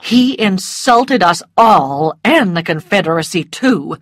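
A woman reads as a bossy older matron, loud and indignant, scolding everyone in sight. The voice is a little raised, and it sits dry and close. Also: fairly high pitch, sing-song, a little slow, no room sound, pitched above the performer's own voice, exaggerated voice. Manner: scolding, commanding.

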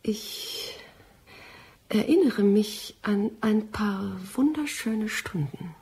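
An actress playing a shrewd, scheming female pharmacy expert in a radio drama, with a husky, breathy voice. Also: mid-range voice, animated, extremely slow, darkish tone, exaggerated voice. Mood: coolly seductive. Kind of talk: German radio play acting.

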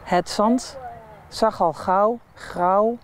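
A woman reciting poetry in measured, solemn phrases with pauses. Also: mid-range voice. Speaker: poet. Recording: faint ambient noise.

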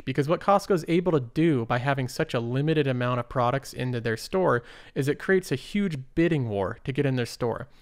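A young man hosting an investing show, explaining a company in a casual, upbeat, step-by-step way over slides. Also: medium pitch. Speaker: investing channel host.

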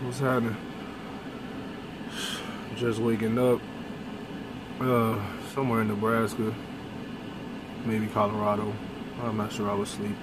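A man's voice: on the low side, measured pace, long pauses. A young man, a truck driver, talking bluntly and with animation to a phone camera from his truck's sleeper cab. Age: young adult. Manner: blunt, animated, with mild cursing. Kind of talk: casual vlog monologue. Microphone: phone camera close up. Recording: road and air-conditioning noise in truck cab. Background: vehicle and air-conditioning hum.

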